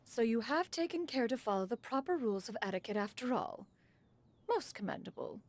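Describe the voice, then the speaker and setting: A woman's voice: fairly high pitch, lively intonation, a little fast. A woman voicing an ancient female crane spirit in human form who speaks in a formal, archaic way, over game music. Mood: stately. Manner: formal archaic speech, refers to herself as "one". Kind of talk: video game cutscene voice acting.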